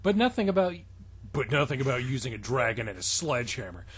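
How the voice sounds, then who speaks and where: Husky man with lively, swinging intonation. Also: medium pitch, fast talker. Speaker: podcast co-host. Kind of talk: comedic dramatic reading with banter.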